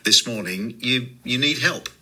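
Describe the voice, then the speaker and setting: A man's voice: mid-range voice, measured pace, sharp tone, husky. A man hosting a live local radio interview in an encouraging, chatty manner. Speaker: radio host.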